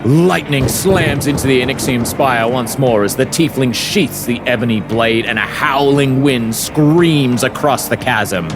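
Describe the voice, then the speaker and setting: A man's voice: medium pitch, a little slow, hardly any pauses. Male fantasy narrator over prominent music. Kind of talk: dramatic scripted fantasy narration. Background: dramatic music.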